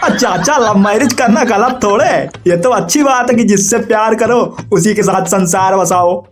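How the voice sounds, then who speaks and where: A performer voicing a sensible young man of thirty in a comic cartoon, reasoning and gently reproving with mild exasperation. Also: medium pitch, normal speed, a little hiss or hum, natural voice.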